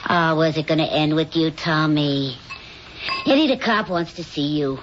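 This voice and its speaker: Woman playing a deep-voiced, caring, scolding mother. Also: moderate pace, lightly acted. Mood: wry, concerned. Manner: earnest, reproachful, pleading. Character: caring, scolding older neighborhood mother figure. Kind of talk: old-time radio drama acting. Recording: dull, noisy old radio recording.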